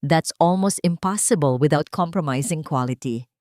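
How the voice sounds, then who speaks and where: Woman playing a male architect, businesslike, matter-of-fact, dry sound, slightly low for a female voice, audio drama dialogue. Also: moderate pace, a little mellow, no room sound, natural voice.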